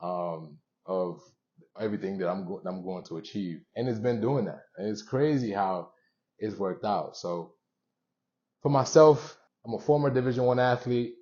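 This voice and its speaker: A young man hosting a motivational show, speaking to viewers in an earnest, conversational way with pauses between short phrases, on a dull recording. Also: lowish voice, darkish tone. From born in Haiti, moved around the US. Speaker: show host.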